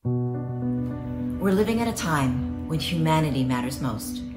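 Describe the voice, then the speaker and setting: Low-voiced woman over loud guitar music. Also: expressive, takes it slowly, echoey room. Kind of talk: public service announcement.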